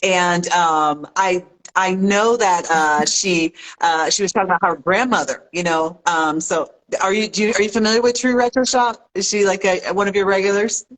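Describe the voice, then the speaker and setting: A woman hosting a casual live interview, backing her guest with steady mm-hmms and reading out viewer questions. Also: mid-range voice, moderate pace, poor audio. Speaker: interview host.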